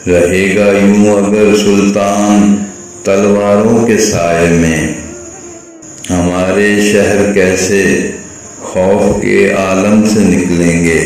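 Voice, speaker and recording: A man recites poetry as a poet, in a deliberate, lilting, measured way with pauses between phrases. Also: lowish voice, darkish tone. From Pakistan. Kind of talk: slow, measured Urdu ghazal recitation. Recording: heavy echo, distant voice, music behind. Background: music.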